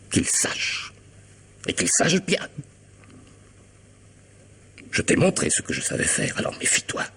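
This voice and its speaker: A vengeful killer in a radio drama, with a very deep, badly husky voice. He is mocking and theatrical, swinging widely from hushed threat to loud command, with a gravelly edge. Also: heavily acted. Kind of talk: French radio drama acting.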